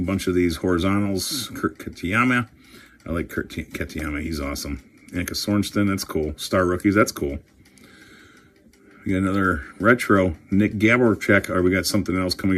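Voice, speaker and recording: A man chatting casually and excitably while opening trading card packs, swearing now and then. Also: low-pitched, a little hiss or hum. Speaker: career coach and card collector host.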